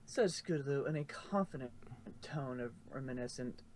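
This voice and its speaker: Man, storybook narration, medium pitch, fan fiction reader. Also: normal speed, a little mellow, a little hiss or hum, poor audio. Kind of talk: storybook narration with casual asides.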